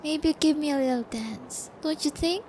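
A woman acting a sadistic young female captor in an ASMR audio roleplay, taunting and gloating with drawn-out, cruel menace. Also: high-pitched, background noise, close to an everyday voice. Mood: cold taunting.

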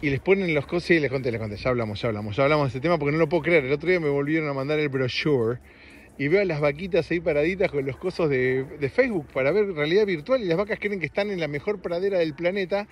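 A middle-aged man with an Argentine accent and a slightly raspy voice, hosting a casual, rambling podcast in a joking, self-deprecating way. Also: a little high, fast, a little hiss or hum. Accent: Argentine Spanish. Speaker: podcast host. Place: outdoors on a street.

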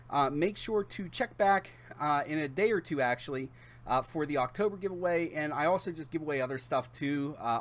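Male channel host, 30s, slightly high voice, casual and chatty, halting delivery for giveaway announcements.

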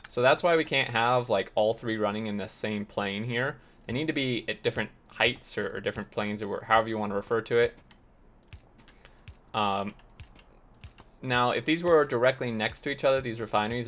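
A slightly hoarse man hosting a game tutorial, explaining step by step with lots of fillers and restarts. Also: fairly low pitch, fairly bright tone. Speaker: let's play host. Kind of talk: tutorial gameplay commentary.

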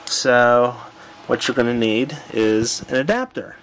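A man casually demonstrating a home electronics project, unhurried and thinking aloud with fillers, filmed on a handheld camera in a room at home. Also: mid-range voice, a little hiss or hum, low-quality recording. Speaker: tutorial presenter. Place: home room with old radio.

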